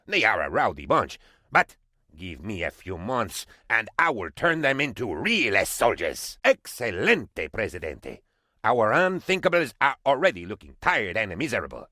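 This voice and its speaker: A man voicing an excitable, pompous and dim-witted sycophantic aide to a dictator in a video game, with theatrical, naively enthusiastic delivery. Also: on the low side, no echo, clean studio sound, heavily acted. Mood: pompous, proud. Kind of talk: video game character voice lines.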